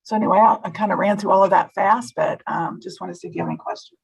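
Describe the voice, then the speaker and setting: A woman giving a food safety presentation at a webinar, slightly hoarse and explaining step by step with small restarts. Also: mid-range voice, rapid-fire, rough recording. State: slightly hoarse, losing her voice.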